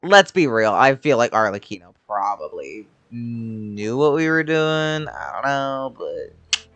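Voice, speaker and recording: A woman's voice: rumbling low, sing-song, takes it slowly, dry recording, faint music behind. A woman game streamer giving casual, offhand reactions and muttered asides while playing. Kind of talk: casual gameplay reaction commentary.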